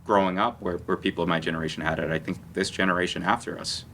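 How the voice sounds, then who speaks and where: A man's voice: low voice, fairly even intonation, quick pace, a bit bright, a little hiss or hum. A man speaking earnestly as a panelist in a conversational discussion, often repeating words as he goes.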